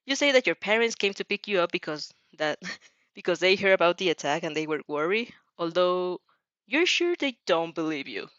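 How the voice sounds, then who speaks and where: A woman's voice: lowish voice, very animated, moderate pace, fairly bright tone, no echo, muffled recording. A woman chatting casually and reading fan fiction aloud, giggly, rambling and informal in her delivery. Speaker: audio fan fiction reader and reactor. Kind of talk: casual reaction chat and expressive story read-aloud.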